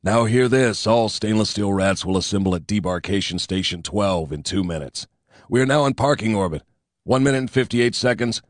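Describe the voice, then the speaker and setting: A man voicing a gruff male navy admiral who bellows orders over a ship's speaker. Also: on the low side, moderate pace, no echo, studio quality, close to an everyday voice. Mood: commanding. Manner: loud, commanding tannoy announcement.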